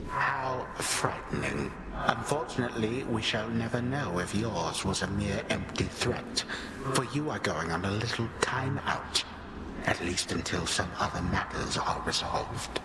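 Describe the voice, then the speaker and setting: An actor playing an ancient titan villain in a video game, menacing and condescending, with a pompous, slow, theatrical delivery. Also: male voice, on the low side, animated, very noisy. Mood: cold menace. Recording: echoing voice under loud music.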